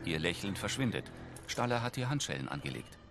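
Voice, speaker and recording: Male audio-description narrator, low voice with lively intonation, scripted, loud music underneath. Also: fairly quick, vocal fry. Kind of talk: scripted present-tense scene narration.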